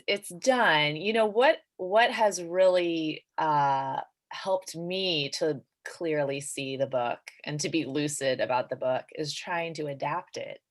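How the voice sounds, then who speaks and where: A woman answering casually as an author on a panel, conversational and emphatic in the way she talks. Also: deep voice.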